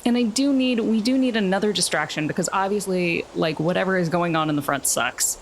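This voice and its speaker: A woman's voice: on the low side, a little fast, slight background noise, poor audio. A woman playing a tabletop role-playing game, chatting casually as she describes her character's moves.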